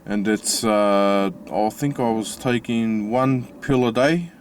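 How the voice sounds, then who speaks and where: An Australian man with a slightly mixed accent from his Italian family, talking casually from inside his car with many pauses and restarts. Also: on the low side, a little hiss or hum. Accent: Australian with Italian-family influence. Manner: halting, many fillers and restarts. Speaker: vlogger. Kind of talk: casual personal vlog.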